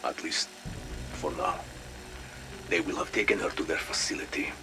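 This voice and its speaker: An actor playing a mysterious, knowing informant in a video game, with a terse, deliberate delivery. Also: male voice, low-pitched, sing-song, gravelly, over music, full character voice, low-quality recording. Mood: grave, insistent. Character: mysterious, ominous Russian male informant, cool and knowing. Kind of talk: video game audio log acting.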